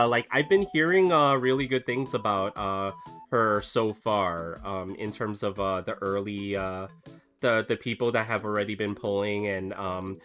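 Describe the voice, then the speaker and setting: A man chatting casually while he draws, rambling with many fillers and restarts, still getting over a cough. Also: lowish voice. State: recovering from a cough. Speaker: artist streaming a drawing session. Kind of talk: casual chat while drawing. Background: soft background music.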